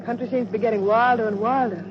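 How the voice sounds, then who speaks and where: A woman's voice: on the high side, fairly even intonation, fast talker, natural voice. Woman playing polite fretful lady, hesitant, easily frightened, 1940s radio drama, muffled recording, heavy noise. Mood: uneasy. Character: anxious, well-bred woman, generous but easily frightened.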